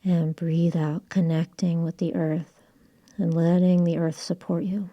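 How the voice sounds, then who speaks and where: A woman leading a guided yoga and relaxation session as the instructor, speaking in a gentle, calm, soothing way with breathy cues. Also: lowish voice, measured pace, muffled recording. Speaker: yoga instructor and channel host.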